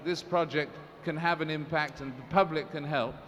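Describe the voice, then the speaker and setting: A man's voice: fairly high pitch, steady tone, normal speed, a bit bright. A man moderating a press conference, speaking formally and measuredly, with frequent restarts and fillers. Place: large assembly hall. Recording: reverberant hall, faint noise.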